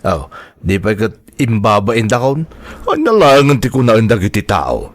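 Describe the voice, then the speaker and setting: A man's voice: lowish voice, big pitch swings, moderate pace, dry recording. A man with an Ilocano accent playing a scornful, well-off uncle in a radio drama, belittling and grumbling as he talks. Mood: scornful, scolding. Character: scornful, well-off uncle grudgingly lending money to relatives.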